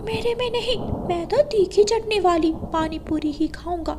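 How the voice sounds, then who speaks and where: महिला की बनाई बहुत ऊँची, नटखट चिड़िया के बच्चे की आवाज़. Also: female voice, extremely high pitch, moderate pace, voice pushed up. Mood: eager. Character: young bird child, cheeky and eager. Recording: loud storm and rain noise behind.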